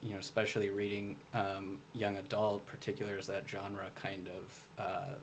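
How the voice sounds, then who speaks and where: A man's voice: on the low side, completely flat delivery, normal speed, slight background noise, rough recording. A young man answering interview questions casually as an author, hesitant and padding his explanations with "you know". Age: young adult. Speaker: debut author being interviewed.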